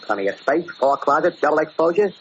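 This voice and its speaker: An actor playing a paranoid, bullying gangster in a gruff, slangy, commanding way, in 1940s radio drama style. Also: male voice, fairly high pitch, lively intonation, fast talker, fairly bright tone. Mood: wary, edgy. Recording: noisy, muffled old-time radio recording.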